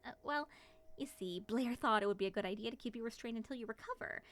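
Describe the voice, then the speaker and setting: A woman's voice: on the high side, quick pace, lightly acted. A woman playing a playful, flirtatious moth monster woman with a teasing manner in an ASMR roleplay. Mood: coaxing. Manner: teasing, sing-song endearments and excited exclamations.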